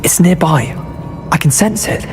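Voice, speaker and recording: A man playing a weary but gracious captive wizard in a video game, speaking formally and in measured phrases. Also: fairly high pitch, animated. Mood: weary, urgent. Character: weary, grateful adult male wizard captive, dignified and gracious. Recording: music behind the voice.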